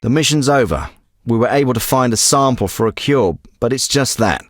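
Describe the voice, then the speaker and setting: An actor playing a hard-nosed, authoritative leader of a covert military team in a video game, speaking tersely. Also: male voice, medium pitch, expressive, normal speed, no echo, studio quality, close to an everyday voice. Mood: grave, pragmatic. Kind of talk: scripted video game dialogue. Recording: some clips processed as radio.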